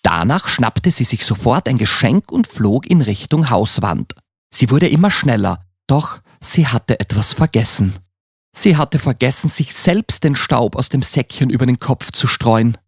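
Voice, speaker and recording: A man narrating a children's Christmas audio play as a scripted story, with an expressive read-aloud delivery. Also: medium pitch, unhurried, slightly rough, no echo, studio quality.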